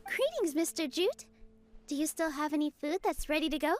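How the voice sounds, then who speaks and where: A woman playing a gentle, modest young female theater dancer in an anime-style video game, speaking politely and earnestly. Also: sits high, very animated, fairly quick. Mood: friendly, earnest. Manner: soft-hearted, polite, earnest. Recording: music behind game dialogue.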